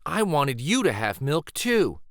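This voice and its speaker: A man's voice: fairly high pitch, big pitch swings, a little slow, a bit bright, no echo, clean studio sound, exaggerated voice. A man voicing a caring, earnest young girl who is a loyal friend in a children's storybook.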